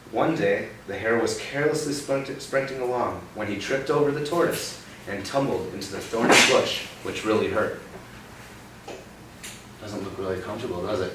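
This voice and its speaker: A young man reading a picture book aloud to a child, pausing often to ask gentle questions about the words. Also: fairly low pitch. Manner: expressive storybook reading broken by gentle teaching questions. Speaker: read-aloud host. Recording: roomy, distant mic with background noise.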